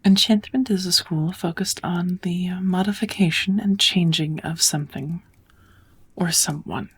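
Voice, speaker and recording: Kind witch tutor, played by a woman, ASMR roleplay, slightly low pitch, very breathy, frequent whispering, measured, encouraging. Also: steady tone, exaggerated voice. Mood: patient, explaining. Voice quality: soft, breathy, often whispered. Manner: gentle, measured, encouraging teacher. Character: kind, patient witch teaching magic to a pupil.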